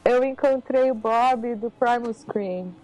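A woman's voice: on the high side, slow, a little mellow, rough recording. A woman chatting casually as a guest on a radio show, easygoing and enthusiastic. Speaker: guest correspondent.

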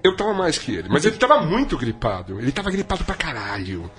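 A man, co-hosting a casual podcast. He rambles through his stories with a sardonic edge. His pitch leaps and dips all over the place. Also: very animated, fast talker, crowd noise. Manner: rambling, sardonic storytelling with big swings in pitch. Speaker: podcast co-host. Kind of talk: casual comedic chat.

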